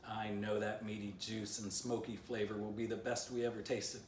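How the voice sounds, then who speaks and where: A man's voice: on the low side, level tone, normal speed, lightly acted. Crafty male dog leader for a storybook, read by a man, declamatory, persuasive; echoey, off-mic recording. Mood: slyly defiant. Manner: declamatory, slyly insistent. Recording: roomy, distant mic, faint background noise.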